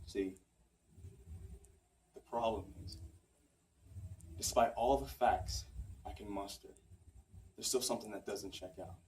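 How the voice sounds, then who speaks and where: A man acting out an anguished, self-doubting rationalist confessing forbidden love in an articulate, earnest stage monologue with dramatic pauses. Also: high-pitched, animated, a little mellow. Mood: subdued confession. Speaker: acting student. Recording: roomy, distant mic, faint background noise.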